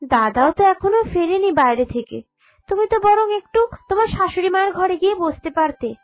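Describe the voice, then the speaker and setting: A woman's voice: very high voice, animated, normal speed. A woman voicing a caring, outspoken young sister-in-law in a cartoon, coaxing and forthright. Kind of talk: cartoon character dialogue. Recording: music behind the voice.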